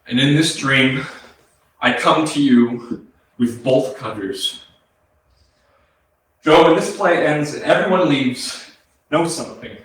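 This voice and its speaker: A man's voice: on the high side, takes it slowly, pauses often, a bit bright. A man in a staged play reading as the ghost of a brother who died young, speaking earnest, poetic monologue in a grieving, tender way. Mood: solemn, consoling. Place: small arts centre stage, recorded from a distance. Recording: echoey theatre hall, distant mic, faint noise.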